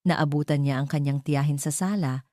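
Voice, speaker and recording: A woman's voice: deep voice, moderate pace, mellow, dry recording, clean studio sound. A woman reading a scripted dramatic story as its narrator.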